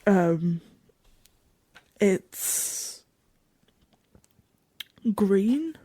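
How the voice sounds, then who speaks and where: A woman playing a curious, chatty traveller in an audio drama diary, soft and husky, with hesitant, informal phrasing and dry humour. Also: mid-range voice, expressive, drawn-out words, no room sound. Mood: fond, curious. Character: chatty, curious female traveller journaling a strange new world.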